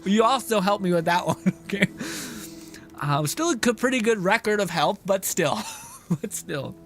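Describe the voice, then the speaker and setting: A male game streamer who rambles playfully, laughs a lot and swears freely. His voice sits a touch high, with faint music playing behind him. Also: lively intonation, normal speed. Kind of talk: casual livestream commentary.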